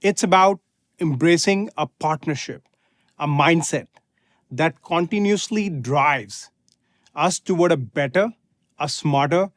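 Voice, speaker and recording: Male customer spokesperson, slightly high pitch, scripted and measured, phrase by phrase. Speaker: guest customer speaker. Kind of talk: scripted corporate testimonial.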